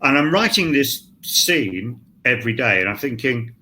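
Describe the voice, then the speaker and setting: Animated, forceful-voiced male scriptwriter. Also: medium pitch, moderate pace, slight background noise. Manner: animated, swears, acts out his own thoughts. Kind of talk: candid self-deprecating panel chat. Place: online panel, home set-up. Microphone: video call.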